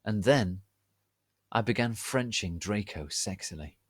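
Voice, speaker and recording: A man reads fan fiction aloud in an exaggerated, theatrical way. His voice sits a little low and moves around a great deal, at an unhurried pace. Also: animated, no room sound. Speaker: reader. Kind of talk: dramatic reading of fan fiction.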